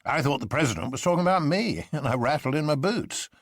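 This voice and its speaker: A man's voice: a little high, animated, fast, no room sound, clean studio sound, voice pushed up. A man voicing a grim young man disguised as an old professor in a storybook, mild, sober and dispassionate. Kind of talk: dramatic storybook reading.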